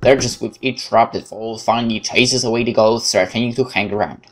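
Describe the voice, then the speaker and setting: Male let's player, Polish accent, lowish voice, reading story text dramatically with off-the-cuff asides. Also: steady tone, a little fast, slight background noise. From Poland. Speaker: let's play host. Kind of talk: reading visual novel text aloud, with casual asides.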